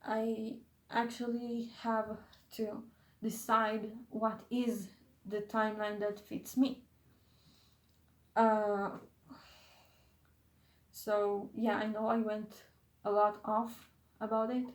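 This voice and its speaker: A woman's voice: medium pitch, flat delivery, slow, pauses often, reverberant. A young woman who is not a native English speaker, chatting in a casual vlog, hesitant and often losing her train of thought. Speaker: YouTuber.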